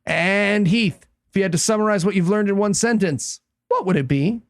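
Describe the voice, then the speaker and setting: A man's voice: high-pitched, moderate pace, dry recording, clean studio sound. A man on a comedy podcast, talking in blunt, sardonic banter. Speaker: podcast panelist.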